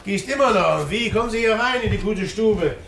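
An actor playing a nervous, bustling innkeeper in a German radio play, hesitant and flustered. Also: male voice, high-pitched, lively intonation, moderate pace, fairly bright tone, roomy sound, slight background noise. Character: nervous, bustling innkeeper hurrying a guest along.

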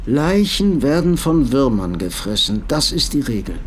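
Actor as weary, bitter fugitive, train noise behind. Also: male voice, mid-range voice, big pitch swings, normal speed, close to an everyday voice. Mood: bitter resignation. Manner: formal, dry, apologetic. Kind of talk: German radio play dialogue.

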